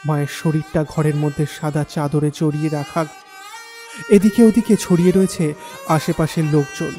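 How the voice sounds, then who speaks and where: Man as mellow, reverberant horror story narrator. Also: a little high, steady tone, moderate pace, natural voice. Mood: stunned grief. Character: troubled young man narrating his ghostly tale. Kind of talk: dramatic first-person horror story narration. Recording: long reverb, faint music bed.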